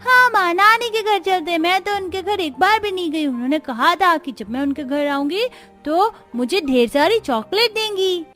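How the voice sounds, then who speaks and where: A woman's voice: very high-pitched, animated, normal speed, hardly any pauses, bright, forceful tone, faint music behind, heavily acted. A woman voicing an eager, pleading little girl in a cartoon family story. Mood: excited. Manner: childlike, pleading.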